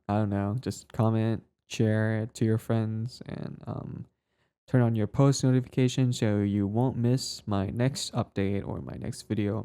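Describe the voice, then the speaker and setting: A man's voice: lowish voice, gravelly, warm tone, clear, resonant tone. A man who hosts an ASMR channel, chatting casually to his listeners in a hesitant, rambling way. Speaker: ASMR voice performer, channel host.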